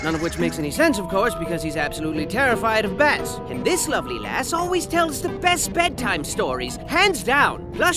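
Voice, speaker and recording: High, manic, theatrical cartoon storyteller. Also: male voice. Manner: over-dramatic, swooping from hushed to shrieking, silly asides. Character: manic, theatrical, childish storyteller narrator, excitable and sarcastic. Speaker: narrator. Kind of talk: comedic storybook narration for a cartoon game. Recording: music behind the voice. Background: music.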